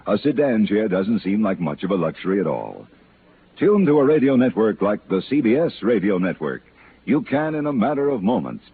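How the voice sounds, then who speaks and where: An American man reading a scripted 1950s radio promo as a polished, persuasive announcer. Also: lowish voice. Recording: muffled, hissy old radio recording.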